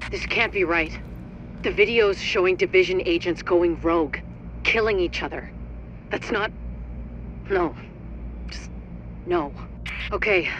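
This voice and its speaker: A woman's voice: on the low side, fairly bright tone, dull audio. Female agent handler, earnest, troubled, video game radio briefing, noisy background. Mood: shaken disbelief.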